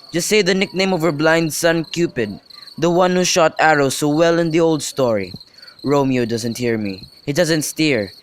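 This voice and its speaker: A man's voice: on the high side, natural voice. A young man playing a bawdy, mock-grand friend in an amateur Shakespeare radio drama, with theatrical, teasing delivery. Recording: noisy home recording with clicks.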